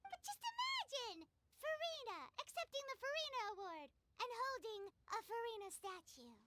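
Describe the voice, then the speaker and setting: A woman's voice: extremely high pitch, very animated, moderate pace, very noisy. A woman voicing a tiny, childlike, chatty fairy companion in a video game, cheeky and a bit whiny. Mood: excited. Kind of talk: animated game character acting. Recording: game music behind the voice.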